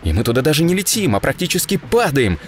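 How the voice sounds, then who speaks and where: A man reading a dramatic first-person story as an audiobook narrator, expressively and in short measured phrases. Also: on the high side, big pitch swings. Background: music.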